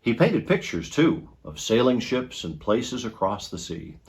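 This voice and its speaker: A man reading a children's picture book aloud in an expressive storytelling style. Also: mid-range voice, unhurried.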